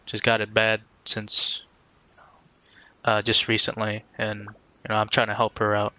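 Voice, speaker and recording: A man chatting casually on a game livestream in an earnest, halting, reflective way. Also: fairly low pitch, completely flat delivery, pushed and bright, no room sound. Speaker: game streamer.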